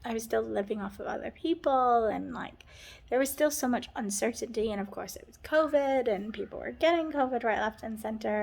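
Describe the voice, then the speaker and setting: A woman's voice: mid-range voice, vocal fry. A woman with a Canadian accent touched by New Zealand vowels, chatting earnestly in a personal vlog and rambling with lots of "like". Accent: Canadian with a New Zealand tinge. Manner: rambling, heavy use of "like", earnest. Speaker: vlogger. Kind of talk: casual personal vlog chat.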